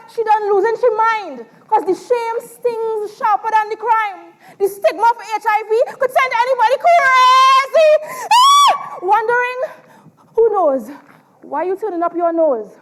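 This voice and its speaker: A woman with a Trinidadian accent performing dramatic spoken word poetry on stage, emphatic and theatrical, with deliberate pauses. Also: extremely high pitch, a bit bright. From Trinidad and Tobago.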